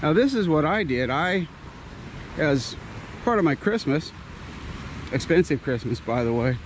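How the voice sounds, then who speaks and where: A man's voice: fairly high pitch, expressive, measured pace, low-quality recording. A man in his sixties walking a beach at sunrise, chatting and telling a rambling story with playful puns into a handheld action camera. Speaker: teacher vlogger. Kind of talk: casual walking vlog narration. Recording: surf noise behind. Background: ocean waves.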